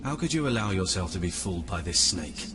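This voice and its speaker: An actor playing a level-headed, principled god in a video game cutscene, speaking in a measured, reproving way. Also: male voice, sits low, sing-song, noisy background. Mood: reproachful.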